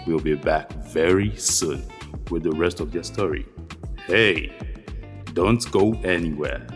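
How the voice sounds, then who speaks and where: Outro announcer: deep-voiced man, scripted delivery over prominent plucked guitar music. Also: takes it slowly.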